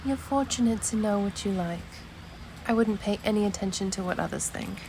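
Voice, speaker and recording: A woman voicing a curious, kind but guarded fantasy adventurer in video game dialogue, gentle and deliberate. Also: medium pitch, noisy background, close to an everyday voice. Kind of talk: amateur voice acting of game dialogue.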